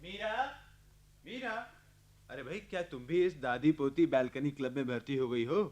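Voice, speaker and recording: A man's voice: mid-range voice, moderate pace, darkish tone, lightly acted. A man playing a bantering, sceptical husband and son in a radio play. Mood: teasing, sceptical. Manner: bantering, questioning. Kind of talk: Hindi radio play acting.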